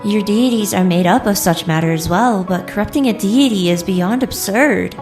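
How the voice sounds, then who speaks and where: Audio drama heroine female actor: composed, sincere outsider from another world, medium pitch, clear musical bed. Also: normal speed, natural voice. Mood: composed, puzzled. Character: otherworldly woman, earnest, composed, stands her ground. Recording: music under the voice.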